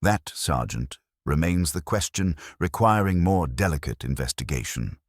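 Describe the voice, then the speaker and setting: A man voicing a shrewd police inspector in a mystery story, formal, reflective and deliberate. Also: rumbling low, expressive, dry recording, studio quality. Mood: reflective, probing.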